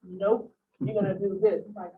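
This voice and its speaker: A woman's voice: medium pitch, steady tone, normal speed. A woman leadership coach giving a conversational, earnest public talk in a museum lecture space. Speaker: guest speaker, leadership coach. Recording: phone-like sound from far off.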